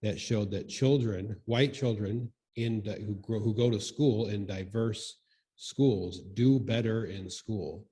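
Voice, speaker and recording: Thoughtful guy with a lowish relaxed voice, kinda feeling his way thru a comment at a church discussion. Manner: halting, thoughtful, tentative. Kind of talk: informal reflective commentary.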